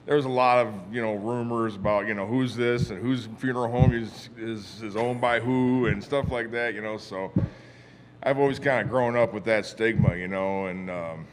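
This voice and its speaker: A lower-voiced man from Western New York chats as a guest musician, rambling and scattering "you know" through his sentences. A faint noise floor sits under the recording. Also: fairly even intonation, moderate pace. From Western New York, USA. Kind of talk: casual interview chat.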